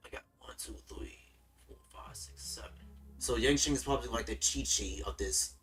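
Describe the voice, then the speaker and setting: Chill dude from the us streaming a game, rambles and repeats himself, mic kinda far, game music low behind. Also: male voice, normal speed, a bit bright. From United States. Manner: laid-back, rambling, repeats words. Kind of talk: casual gaming stream commentary.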